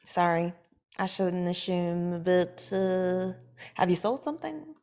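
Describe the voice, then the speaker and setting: A woman reading her lines at a script table read, dry and blunt, with quick comebacks. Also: fairly low pitch, expressive, a little mellow.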